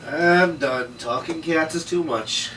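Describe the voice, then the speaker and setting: A man's voice: fairly high pitch, takes it slowly, fairly bright tone. Man playing skeptical noir detective, distant mic. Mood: exasperated. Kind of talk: script table read. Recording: distant mic, noisy room.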